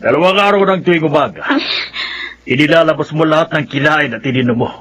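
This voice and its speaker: Man acting a stern father, forceful high voice. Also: fast. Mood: angry, demanding. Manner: forceful, confrontational. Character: stern, resentful father of a grown daughter. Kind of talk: radio drama acting.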